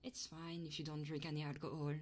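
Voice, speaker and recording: A woman live streamer chatting casually and playfully in a soft, breathy ASMR style, often whispering, close to the microphone. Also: deep voice, little pitch movement, brisk. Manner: casual and playful, swearing freely.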